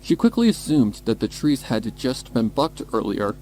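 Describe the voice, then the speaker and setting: A man narrating a scripted dark story in a measured, dramatic way. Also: mid-range voice, big pitch swings, slight background noise, low-quality recording. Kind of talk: scripted story narration, horror fan fiction. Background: background music.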